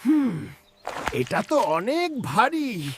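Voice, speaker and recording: Stubborn high-voiced cartoon bear by a woman. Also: very animated, extremely slow, noisy background, full character voice. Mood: stubborn, greedy. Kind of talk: children's cartoon dialogue.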